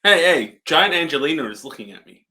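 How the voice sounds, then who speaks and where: A man's voice: fairly high pitch, animated, a little fast, bright, forceful tone. A young man co-hosting a casual movie review, joking and laughing often. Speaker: co-host.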